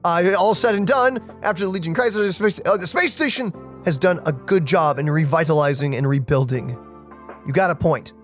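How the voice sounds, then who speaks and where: A man's voice: on the high side, a little fast, soft background music. A man hosting a let's play, playfully reading game dialogue aloud and adding casual commentary. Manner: playful, animated.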